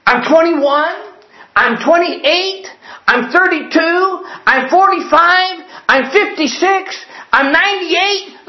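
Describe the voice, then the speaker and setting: Earnest preacher, echoey lo-fi sound. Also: male voice, extremely high pitch. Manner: earnest, emphatic preaching with repeated phrases; quotes old-fashioned scripture wording. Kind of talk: sermon. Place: church building.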